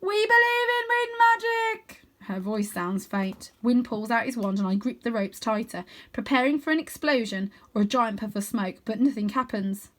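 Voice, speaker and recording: A woman reading a children's book aloud as an expressive narrator. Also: medium pitch, normal speed, few pauses. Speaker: storybook reader.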